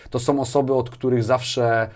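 A man's voice: mid-range voice, little pitch movement, moderate pace, a little mellow. A man in his forties speaking as an interview guest in casual conversation, emphatic, often adding little tag questions. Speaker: interview guest, business executive. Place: small indoor studio with armchairs and bookshelves. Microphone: lapel mic.

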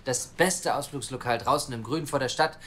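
A man's voice: medium pitch, normal speed, fairly bright tone, slight background noise, lightly acted. Casting: actor for earnest, persuasive man wanting a child, mid-range voice, natural radio-play delivery, faint background ambience. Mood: chatty, cheerful. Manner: eager, talkative, persuasive. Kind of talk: naturalistic audio drama acting.

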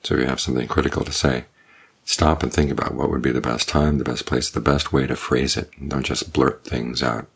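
Older man, very low voice, husky, calm measured monk's talk. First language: English. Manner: calm, measured, unhurried teaching with pauses. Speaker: Buddhist monk giving a Dhamma talk. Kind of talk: evening Dhamma talk.

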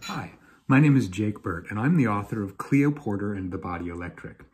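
A man's voice: fairly low pitch, animated, a little fast, darkish tone, slight background noise. A man in his thirties or forties, a children's author, giving a scripted introduction to camera. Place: indoor room at home.

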